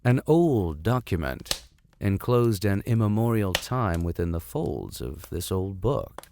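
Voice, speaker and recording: A man's voice: low voice, big pitch swings, unhurried, gravelly, warm tone, a little hiss or hum. A man reading a classic story aloud as host, theatrical in delivery, with wry asides. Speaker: reader, host.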